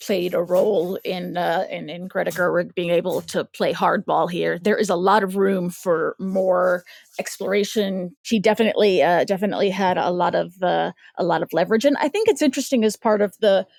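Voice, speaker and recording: A woman's voice: fairly low pitch, creaky, a little mellow, no echo. A woman on a podcast panel, talking casually with frequent fillers and restarts. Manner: halting, lots of fillers and restarts.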